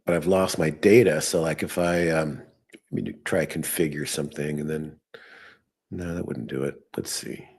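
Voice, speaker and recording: A man hosting a live trading show, thinking aloud as he works, his voice hoarse and fading. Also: extremely low pitch, fairly even intonation, moderate pace, darkish tone. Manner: thinking aloud while working on screen.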